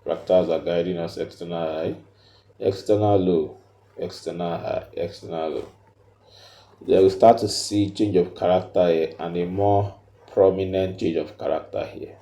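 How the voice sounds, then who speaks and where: Guy doing a step by step tutorial, really dark mellow voice, halting n repetitive, roomy echo. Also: deep voice, fairly even intonation. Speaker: trading tutorial presenter. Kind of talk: unscripted step-by-step chart tutorial. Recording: roomy, echoey voice recording.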